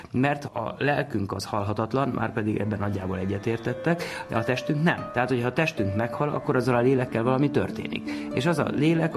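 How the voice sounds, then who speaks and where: A man with a mid-pitched, slightly rough and husky voice explains as an expert. His delivery is conversational and informal, building each point step by step. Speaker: expert commentator.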